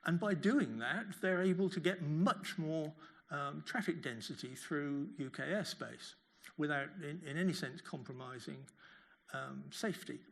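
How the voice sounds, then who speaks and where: Older male academic, creaky voice, halting delivery, answering questions at a lecture. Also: fairly high pitch. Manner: halting, repeats words while phrasing. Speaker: professor giving a lecture.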